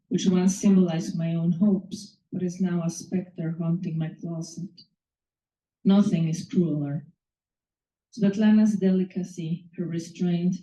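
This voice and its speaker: A woman from Mexico whose first language is Spanish, reading one of her short stories to an audience after a hesitant introduction. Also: lowish voice. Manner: hesitant with many filler words, then steady reading. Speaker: author reading her story. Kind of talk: reading a short story aloud. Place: bookstore or small venue reading. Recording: distant mic, echoey room, dull sound.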